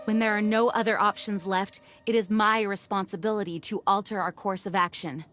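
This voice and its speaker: A woman's voice: medium pitch, fairly even intonation, brisk, a bit bright, soft background music, natural voice. An actress playing a dutiful female knight commander in a video game, formal and firm. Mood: resolute. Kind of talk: video game dub acting.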